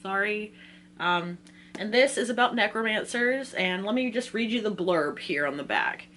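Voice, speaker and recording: A woman with a mid-pitched voice chats informally to her viewers as a book vlogger. Her manner is casual and self-deprecating. There is a faint hum and some noise in the recording. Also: expressive, moderate pace, fairly bright tone. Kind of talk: casual chatty reading-list vlog.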